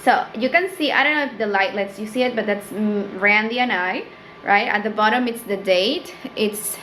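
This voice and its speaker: A woman's voice: mid-range voice, moderate pace, sharp tone, slight background noise, rough recording. A young woman from Peru with a Peruvian Spanish accent, Spanish her first language, chatting casually to camera about her small business and filling her talk with "like" and "um". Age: young adult. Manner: chatty, fills with "like" and "um", rises for quoted thoughts. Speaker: small business owner vlogger. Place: small indoor room with tea stock shelf.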